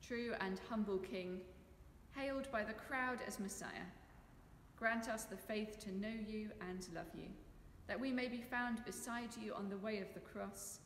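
A middle-aged woman leads a church service as priest, speaking in a measured, solemn way. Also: medium pitch. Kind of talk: church liturgy and welcome. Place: inside a stone church, standing back from camera. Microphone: camera a few metres away. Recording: echoey church, faint background noise.

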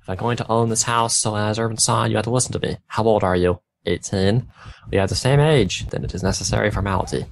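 A man's voice: fairly low pitch, fairly even intonation, fast, creaky. A young man reading a story aloud, stumbling over garbled wording and breaking off with bemused asides. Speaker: fan fiction reader on a gaming video.

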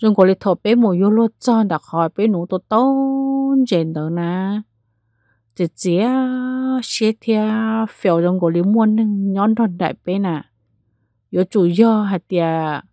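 A woman narrating a spooky tale in a lively storytelling manner, changing pace and loudness as she goes. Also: medium pitch, unhurried, dry recording. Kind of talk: spoken ghost story narration.

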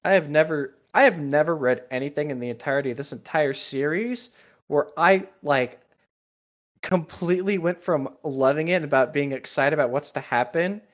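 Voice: mid-range voice, moderate pace, dull audio. A co-host casually reading a story aloud and chatting about it in a conversational, sweary way. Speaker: co-host reading and mocking an online horror story.

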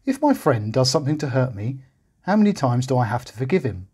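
A man's voice: mid-range voice, sing-song, brisk, warm tone, breathy edge. A man voicing an earnest male disciple in a storybook tale, asking a worried question.

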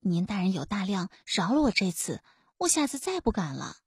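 A woman's voice: mid-range voice, sing-song, moderate pace, breathy edge, no room sound, clean studio sound. A woman voicing an eager, cheeky young female constable who wheedles and pleads in an ingratiating way. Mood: apologetic pleading.

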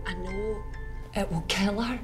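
A woman's voice: medium pitch, steady tone, a little slow, music in the background, natural voice. An actress playing a cold, persuasive middle-aged woman boss in a screen drama, urgent and coolly insistent. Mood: coldly urgent.

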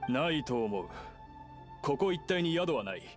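A man's voice: medium pitch, very animated, a little slow. A man playing a worried, middle-aged mine foreman in an anime-style video game, with blunt, casual working-man speech. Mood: concerned, matter-of-fact. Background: background music.

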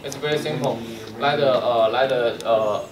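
Echoey, distant non-native male trainer. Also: mid-range voice. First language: not English. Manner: halting, fragmented phrasing in non-native English. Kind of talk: hands-on technical workshop explanation. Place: indoor workshop room with small audience. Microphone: distant camera microphone. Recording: roomy, far from mic, noisy room.

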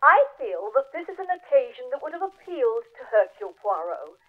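A woman playing a genteel mystery author giving a measured, formal 1940s radio address. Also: high-pitched, sing-song. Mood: fond, formal. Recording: tinny, phone-like transatlantic radio link.

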